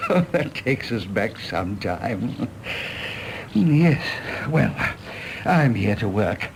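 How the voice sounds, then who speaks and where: A man's voice: fairly low pitch, very animated, drawn-out words, long pauses, heavily acted, rough recording. A fussy, genial old English retainer in a radio play. He is formal and deferential, a little hesitant, and his intonation bobs up and down over faint background noise. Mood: genial, courteous. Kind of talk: British stage-play radio drama acting.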